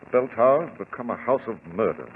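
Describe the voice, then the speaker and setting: An actor playing a flustered, anxious lord in a 1940s radio drama, formal and agitated. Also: male voice, mid-range voice, lively intonation, fast, a little hiss or hum, lightly acted. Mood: grave, uneasy. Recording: tinny old radio recording, music behind.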